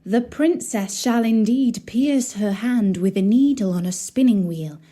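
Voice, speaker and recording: A woman's voice: on the high side, measured pace, dark tone. A woman storyteller voicing a young good fairy godmother who speaks formally and reassuringly in a storybook. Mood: solemn, reassuring.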